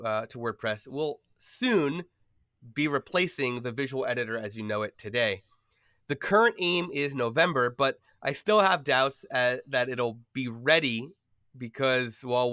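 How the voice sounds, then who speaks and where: A man's voice: medium pitch, a bit bright, no echo, studio quality. A man hosting a podcast, sounding a bit nasal from a cold, chatting casually with lots of fillers and easy laughs. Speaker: podcast host.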